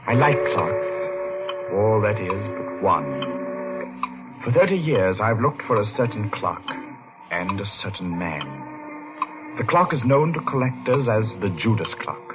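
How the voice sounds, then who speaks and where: A haunted, middle-aged clockmaker in a vintage radio mystery. His voice is very low and brooding, with a careful, hesitant manner. The recording is dull, with prominent music. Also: sing-song, unhurried. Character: haunted, vengeful middle-aged London-born clockmaker, narrating his story. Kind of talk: 1940s radio mystery narration and acting.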